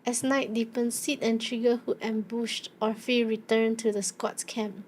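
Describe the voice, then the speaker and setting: A woman's voice: on the high side, level tone, measured pace, a little mellow, a little hiss or hum. A woman streamer casually commenting on a story game and reading its text aloud.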